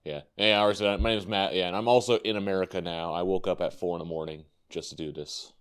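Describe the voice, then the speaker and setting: A man's voice: low voice, steady tone, quick pace, clear, resonant tone. A man hosting a casual podcast, rambling with lots of fillers and easy laughs. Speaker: podcast host.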